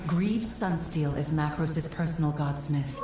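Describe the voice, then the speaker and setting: Echoey, low-voiced grave woman guide. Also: very noisy. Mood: calm, instructive. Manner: measured, serious, explanatory. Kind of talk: video game cutscene acting.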